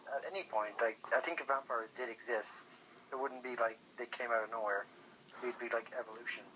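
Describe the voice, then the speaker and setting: A man's voice: medium pitch, fairly even intonation, fairly quick, sharp tone. A man chatting casually over the phone as a guest, hesitant and thinking aloud. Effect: telephone. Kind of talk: casual chat. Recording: noisy phone call.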